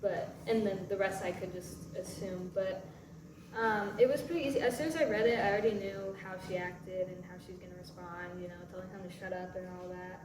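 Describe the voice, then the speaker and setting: A woman's voice: on the low side, a little fast, a crowd in the background. A woman speaking as an actor in a casual Q&A, informal and rambling. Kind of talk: casual Q&A chat. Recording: echoey room, distant mic, noisy background.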